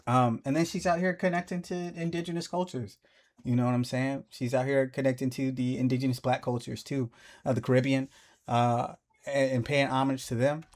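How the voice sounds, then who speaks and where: A man talking casually as the host of a music reaction video, breaking down a song with animated asides. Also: brisk. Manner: animated, jumps into high playful voices when excited.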